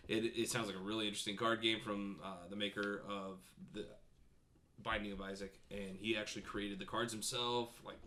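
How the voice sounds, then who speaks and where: A man's voice: lowish voice, little pitch movement, fairly quick, sharp tone, a little hiss or hum. A man co-hosting a casual group chat, talking informally and often acting out what others might say. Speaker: podcast co-host.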